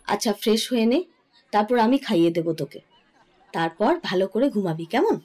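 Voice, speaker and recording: A woman's voice: mid-range voice, expressive, moderate pace, mellow, lightly acted. A woman playing a bossy but caring middle-aged mother-in-law in an audio story. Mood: caring, firm.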